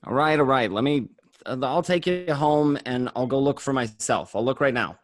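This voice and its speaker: Man as a streetwise mobster, exasperated, mid-pitched, radio drama, very dry recording. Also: moderate pace, no echo, natural voice. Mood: weary, placating. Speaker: amateur actor in a remote table read. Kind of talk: radio play table read.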